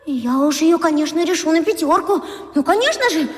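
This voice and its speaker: A woman's voice: very high-pitched, animated, fairly quick, a little mellow. An actress playing an anxious schoolgirl who talks to herself in agitated, repeated phrases in a children's radio drama. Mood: scheming panic. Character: anxious schoolgirl, top pupil and class monitor, panicking. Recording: long hall-like reverb.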